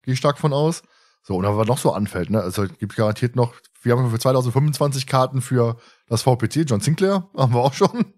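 Guy hosting a podcast, very animated melody, joking around and teasing casually. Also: medium pitch, fast talker. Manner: playful, teasing. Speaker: podcast host. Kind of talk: casual, jokey chat.

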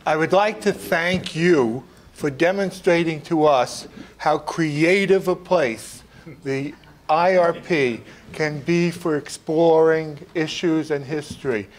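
A man's voice: fairly high pitch, slow. An older man giving closing thanks to an audience from a lectern microphone in a noisy hall. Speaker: program closer giving thanks. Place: auditorium lectern.

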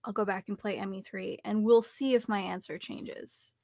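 A woman's voice: medium pitch, fairly even intonation, normal speed, warm tone, dry recording. Young woman, dry close sound. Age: young adult. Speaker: interview guest, voice actor. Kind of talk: casual chat.

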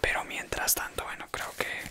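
Whispering man, doting ASMR roleplay. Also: normal speed. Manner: soft whisper throughout, doting and gullible boyfriend act.

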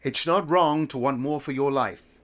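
A man voices a calm mentor in an audio drama, speaking in an even, mid-pitched voice. He encourages gently and steadily. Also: normal speed, close to an everyday voice. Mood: encouraging. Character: calm male mentor urging a young woman on.

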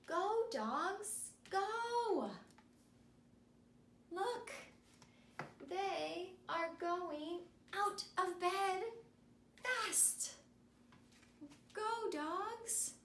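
A woman's voice: very high-pitched, lively intonation, extremely slow, pauses often, fairly bright tone. A young woman working as a school speech therapist reads a picture book aloud to children in simple, emphatic, repeated phrases. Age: young adult. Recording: echoey room with noticeable hiss.

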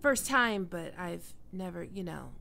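A woman voicing a prickly, flustered young catgirl roommate in an intimate ASMR roleplay, halting and defensive but secretly soft. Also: on the low side, big pitch swings, creaky, heavily acted. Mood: hesitant, tender.

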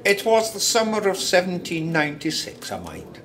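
An actor playing a plain-spoken, wry Scottish storyteller in a radio drama. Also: male voice, high-pitched, wildly swooping pitch, moderate pace, a bit bright, full character voice. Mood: wry, wistful.

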